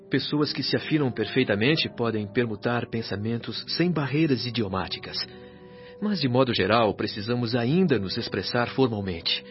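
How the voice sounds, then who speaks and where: An actor plays a serene male spirit nurse in a radio drama, patiently teaching in a grave, measured manner. His pitch is average for a man. The recording is a little muffled, with music underneath. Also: lightly acted. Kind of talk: radio drama dialogue, explanatory. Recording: slightly dull band-limited sound with music.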